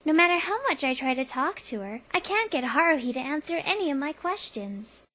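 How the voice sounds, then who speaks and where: A woman voicing a sweet, earnest teenage schoolgirl in an anime fan dub, polite and gently persuasive. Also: high-pitched, sing-song, brisk. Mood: sweet, coaxing.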